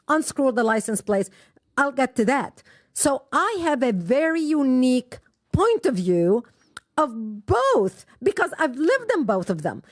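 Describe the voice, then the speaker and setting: A middle-aged woman from Lebanon with a high, lively voice that swoops up and down as she tells her story. She speaks with impassioned emphasis, recorded close and dry with no room sound. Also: no echo. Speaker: guest. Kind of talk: personal testimony in an interview.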